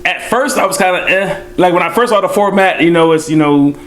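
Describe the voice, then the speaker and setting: A young man with a hoarse voice co-hosting a casual sports chat, laid-back and laughing often. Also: high-pitched, fairly quick, a bit bright, slight background noise, poor audio. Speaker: podcast co-host.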